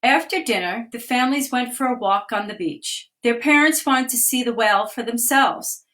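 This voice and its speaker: A woman, a children's author, reading a picture book aloud on a live video stream, with gentle read-aloud pacing and pauses to point out the pictures. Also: on the high side. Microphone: phone or webcam on a live video stream.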